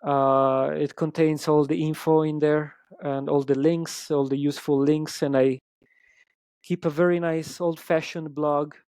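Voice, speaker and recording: A man's voice: fairly high pitch, a little mellow, clear, resonant tone, no echo. A man with an Italian accent, a musician guest chatting in an interview, hesitant with many ums and restarts. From Italy. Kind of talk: casual interview chat.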